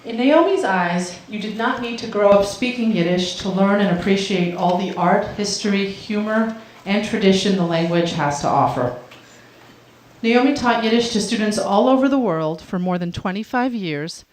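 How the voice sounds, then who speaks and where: Woman, lecture-hall host reading prepared remarks; mid pitch, roomy reverb. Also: normal speed, darkish tone, slight background noise. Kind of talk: prepared welcome speech.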